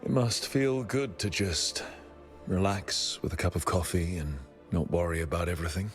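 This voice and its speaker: An actor playing a calm, curious, gently teasing adventurer leader in a video game, with a conversational, questioning manner. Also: male voice, low voice, moderate pace, soft background music, lightly acted.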